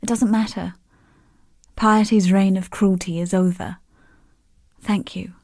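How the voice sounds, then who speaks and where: Fantasy game NPC: proud, world-weary exiled woman, dark mellow voice, wry, grieving undertone, dry studio sound. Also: mid-range voice, fairly even intonation, slow pace, no echo. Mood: solemn gratitude. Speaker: non-player character in a fantasy action game. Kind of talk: scripted dramatic game dialogue.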